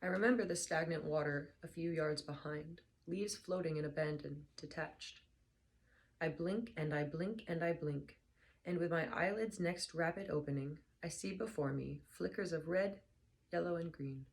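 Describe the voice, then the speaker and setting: Tired low-voiced woman with a hoarse, creaky voice. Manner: tired, soft and unhurried. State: hoarse, slightly lost voice. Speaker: book reader vlogger. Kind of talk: casual chat then reading aloud.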